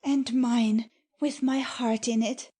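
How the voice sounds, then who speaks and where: A woman plays a tender young maiden in a Shakespeare audiobook. Her voice is high and soft-edged, mellow in tone, and she takes the verse slowly and earnestly, with innocent devotion. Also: steady tone, husky. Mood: lovestruck, devoted. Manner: slow, earnest delivery of verse. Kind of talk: dramatic Shakespeare audiobook reading.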